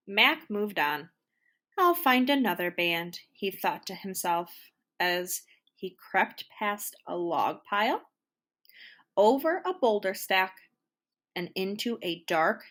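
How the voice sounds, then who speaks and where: A woman storyteller reading a children's storybook aloud in an expressive, deliberate way. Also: medium pitch. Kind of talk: scripted children's storybook narration.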